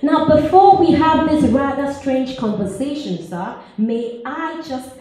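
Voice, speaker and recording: A woman performing a high-voiced, defiant outcast woman, echoey hall. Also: measured pace. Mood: indignant. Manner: theatrical, emphatic, swinging from hushed to loud. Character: outcast Samaritan woman, sassy, wounded, defiant, yearning. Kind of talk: dramatic spoken-word monologue performed live. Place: large echoey church hall, music behind. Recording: echoey hall from far off, music.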